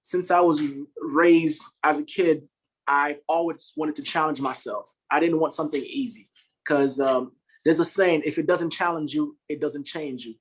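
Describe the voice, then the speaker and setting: A man's voice: fairly high pitch, fairly even intonation, moderate pace, husky, low-quality recording. A man in his thirties from Haiti, a guest on a podcast, talking casually in an earnest, upbeat way and laughing easily. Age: 30s. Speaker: podcast guest, Marine staff sergeant. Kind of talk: casual interview talk.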